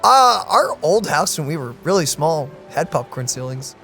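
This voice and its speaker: Man, reaction host, casual playful commentary, high pitch, lively intonation. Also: moderate pace, faint music behind.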